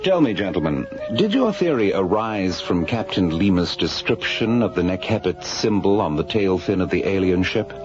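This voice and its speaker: Courtly scholar, deep formal voice. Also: male voice, very animated, lo-fi sound. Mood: earnest. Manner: formal, measured, erudite. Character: courtly older male scholar, learned and keen on bold theories. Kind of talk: radio drama acting. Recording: band-limited old radio drama, music behind.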